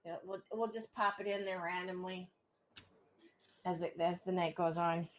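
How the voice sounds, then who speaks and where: A woman's voice: fairly low pitch, fairly even intonation, normal speed, slight background noise. A female radio co-host in casual on-air banter, easygoing and giggling often.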